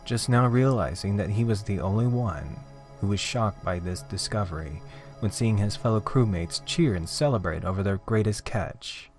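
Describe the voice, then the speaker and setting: Guy with a lowish voice telling scary stories, dramatic n deliberate, music in the back. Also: animated, darkish tone. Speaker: horror story narrator. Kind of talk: dramatic scripted horror storytelling. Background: background music.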